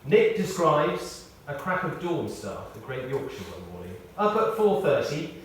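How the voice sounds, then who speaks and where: A man reading a prepared tribute aloud in short, measured phrases, with wry storytelling. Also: fairly high pitch, very animated, a bit bright. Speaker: tribute speaker. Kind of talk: read-out memorial tribute with anecdotes. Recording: echoey hall, distant, faint noise.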